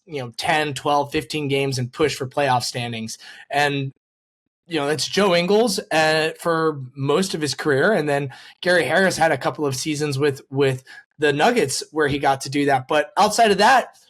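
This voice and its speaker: An interviewer hosting a casual sports conversation in a rambling, conversational and enthusiastic way. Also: medium pitch. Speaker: podcast host and interviewer.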